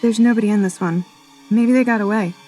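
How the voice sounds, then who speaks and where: Woman playing an anxious, husky-voiced scientist. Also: medium pitch, steady tone, fairly quick, warm tone, faint music behind, lightly acted, low-quality recording. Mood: alarmed. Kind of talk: dramatised screenplay reading.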